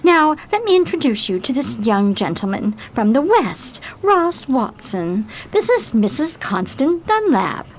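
High, gushing society hostess, acted by a woman. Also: very animated, unhurried, hardly any pauses, a little hiss or hum, exaggerated voice. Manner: effusive, affected. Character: chic, gushing 1920s society hostess, sly and sociable. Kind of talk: 1920s-set radio play acting.

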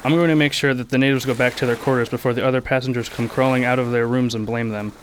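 A man's voice: medium pitch, steady tone, quick pace, slight background noise. A man playing a firm, commanding passenger who takes charge in a radio drama.

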